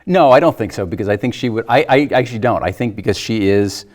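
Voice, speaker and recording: Low-pitched man, thinking aloud. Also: quick pace, darkish tone. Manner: informal, tentative, thinking aloud. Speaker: guest. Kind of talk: casual film discussion.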